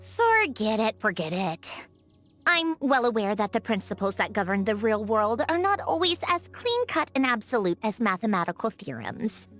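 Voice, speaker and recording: An actress voicing a haughty, prickly young genius lady automaton in a video game, huffy and easily flustered, with a secretly soft heart. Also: fairly high pitch. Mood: grudging, flustered. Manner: haughty, huffy, flustered when caught being kind. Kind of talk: scripted video game character voice acting.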